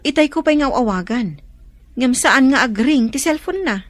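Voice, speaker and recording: Nag-aalalang ina. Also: female voice, high-pitched, expressive, moderate pace, dry recording. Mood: fretful. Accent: Ilocano. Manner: fretful.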